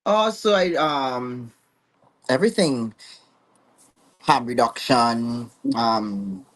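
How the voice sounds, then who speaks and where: A man in his thirties with a Trinidadian accent, a guest on a dating show over a video call, soft-spoken and hesitant, giving short answers. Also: medium pitch, drawn-out words, poor audio. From Trinidad and Tobago. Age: 30s.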